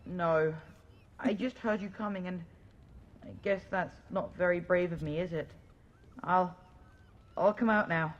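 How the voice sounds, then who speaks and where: A woman's voice: fairly low pitch, unhurried, long pauses, muffled recording, slight background noise, lightly acted. Woman voicing a scared, stubborn little boy. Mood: timid, sheepish. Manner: defiant, pleading, childlike. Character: scared but stubborn small orphaned village boy. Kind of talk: video game dialogue acting.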